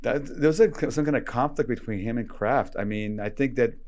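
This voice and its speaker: A man in casual conversation as a guest, telling stories in an animated way and acting out bits of dialogue. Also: medium pitch, fairly quick. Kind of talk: casual chat.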